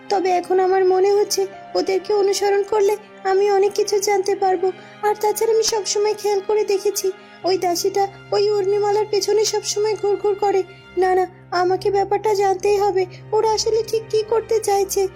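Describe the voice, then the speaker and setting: Woman as an earnest, very high-voiced palace maid. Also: warm tone. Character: loyal, suspicious young palace maidservant, earnest and protective. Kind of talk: cartoon fairy-tale voice acting. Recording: music behind the voice.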